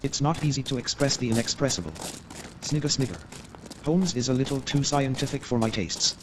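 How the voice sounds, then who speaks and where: A man playing a cautious, apologetic gentleman in a humorous radio play, speaking in a measured, hedging way. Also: mid-range voice, natural voice. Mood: hedging, earnest. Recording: clear background noise.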